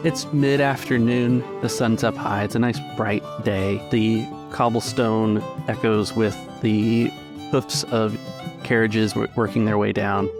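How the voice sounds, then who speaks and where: A man's voice: lowish voice, steady tone, a little slow, over music. A man narrating as game master, setting the scene for a role-play story.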